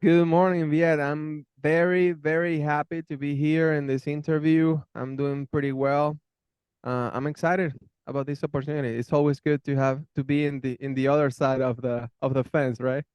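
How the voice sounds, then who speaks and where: A man's voice: a little high, little pitch movement, moderate pace, a little mellow, clear, resonant tone, dry recording. A man in his thirties from Venezuela, speaking English with a Venezuelan Spanish accent, answering interview questions in a friendly way and often repeating words as he searches for phrasing. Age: 30s. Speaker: interviewee, channel host.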